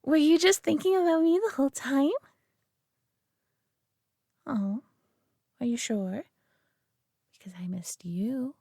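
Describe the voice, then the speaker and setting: Woman as a doting, prideful high-voiced alien owner. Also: slow pace, long pauses, dry recording, clean studio sound, heavily acted. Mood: teasing, coaxing. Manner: teasing, condescending, affectionate, often hushed and breathy. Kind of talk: intimate roleplay audio drama.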